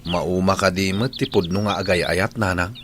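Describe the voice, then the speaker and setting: Lovesick young laborer, fairly low voice, earnest, plaintive, radio drama, faint background noise. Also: male voice, fairly even intonation, normal speed, fairly bright tone. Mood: earnest, lovestruck. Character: lovesick, stubborn young male construction worker.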